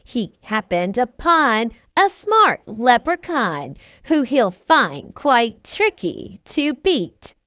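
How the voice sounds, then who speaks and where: A woman reading a children's storybook aloud in an expressive, deliberate storytelling manner. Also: a little high, dry recording. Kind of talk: scripted read-aloud storybook narration.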